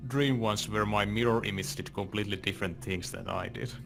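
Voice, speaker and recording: A man's voice: on the low side, fairly even intonation, fairly quick, gravelly, over music. A man giving casual co-commentary on a video game in a group chat.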